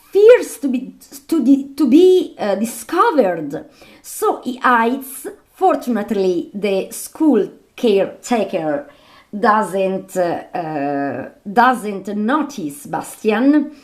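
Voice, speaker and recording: A woman who is not a native English speaker, presenting movie commentary off the cuff as a film fan, halting and repeating her phrases. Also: on the high side. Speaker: video host and film fan.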